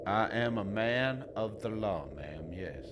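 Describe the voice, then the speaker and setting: An actor playing a cryptic lawman in an audio drama, speaking in a deadpan, evasive, measured way. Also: on the low side, dull audio. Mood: cool, cryptic. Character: cryptic, unhurried lawman questioning a lone driver. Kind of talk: dramatic audio fiction dialogue. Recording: clear roadside ambience noise.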